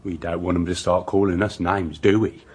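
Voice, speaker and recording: A cool London criminal in a radio thriller. His working-class voice is deep and rasped, and his matey manner thinly covers the threat of violence. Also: flat delivery, moderate pace, darkish tone. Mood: cold menace. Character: cool, falsely friendly male criminal thug. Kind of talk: radio drama acting.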